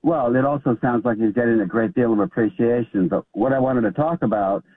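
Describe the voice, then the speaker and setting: A man's voice: mid-range voice, a little fast, dry recording. A man calling in to a radio show, chatting casually and a little hesitantly over the telephone. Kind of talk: casual phone-in conversation. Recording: dull, band-limited phone line.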